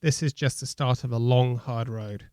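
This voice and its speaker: A man's voice: mid-range voice, fairly even intonation, normal speed, a little mellow, smooth, dry recording, studio quality. A man reading scripted quotes from a newspaper article.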